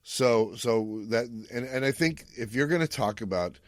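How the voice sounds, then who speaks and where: A man co-hosting a podcast, chatting casually and retelling a story in a jokey, animated way with acted-out quotes. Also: medium pitch, unhurried, no echo. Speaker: podcast co-host. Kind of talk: casual recap chat.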